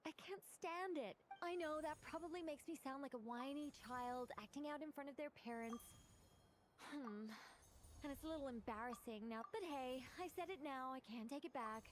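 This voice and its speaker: A fussy, articulate young sorceress acted by a woman in a game scene. The voice is on the high side, wordy and dry, with faint ambience behind it. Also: moderate pace, noisy background, close to an everyday voice. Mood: embarrassed, petulant. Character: prim, opinionated, talkative young female mage. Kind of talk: video game voice acting.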